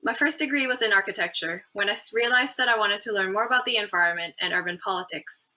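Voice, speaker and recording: A young woman from Hong Kong delivers a prepared awards speech in a measured, earnest way. Her voice is bright and forceful, sitting at a middle pitch. The recording is slightly dull and lo-fi. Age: young adult. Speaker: awards finalist giving a speech. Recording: dull lo-fi audio.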